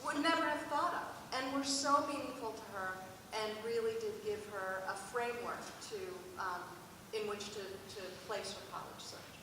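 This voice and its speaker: A woman's voice: on the high side, moderate pace, few pauses. A middle-aged American woman answering conversationally as a college admissions panelist. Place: large hall at a public panel event. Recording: echoey noisy hall, distant mic.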